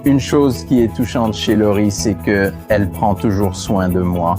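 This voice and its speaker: A man plays an affectionate young groom-to-be speaking in a dubbed reality show testimonial. Music runs clearly beneath his words. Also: on the low side, a little slow, close to an everyday voice. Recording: music playing under the voice.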